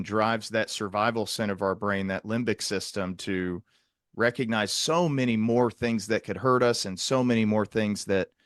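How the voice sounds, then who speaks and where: Male psychiatrist host, lower-pitched, explanatory and technical, conversational interview delivery, very dry recording. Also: no room sound.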